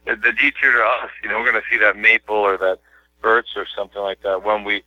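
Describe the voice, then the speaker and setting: A man from the United States, a poet guest in a casual interview, talking in a reflective, hesitant way with slow pauses. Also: on the low side, level tone, pushed and bright, dry recording. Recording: dry, dull lo-fi radio audio.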